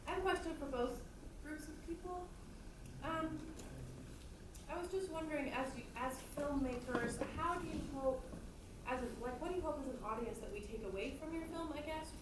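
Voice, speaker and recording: A woman's voice: high-pitched, normal speed. A woman in the audience asking a question, tentative and searching for words. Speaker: audience member. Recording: echoey hall, distant mic, noise.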